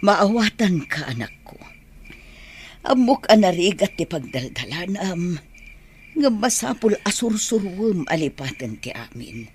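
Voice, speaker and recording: Woman playing consoling Ilocano mother, expressive voice. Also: lowish voice, measured pace, slight background noise. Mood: sympathetic. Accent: Filipino, Ilocano. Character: gentle, consoling mother of a grieving grown son. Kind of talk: amateur audio drama acting.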